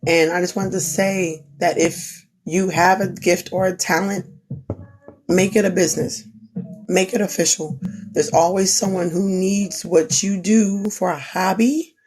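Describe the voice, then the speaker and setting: A woman's voice: fairly low pitch, steady tone, a little slow, darkish tone, rough recording. A young woman who is losing her voice gives an earnest, repetitive pep talk on a live stream. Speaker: small business owner, crafter. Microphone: phone.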